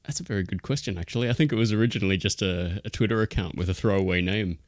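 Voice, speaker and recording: A man hosting a livestream, chatting in a relaxed, self-deprecating way. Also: lowish voice, racing, no room sound, studio quality. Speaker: show host. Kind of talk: casual livestream chat.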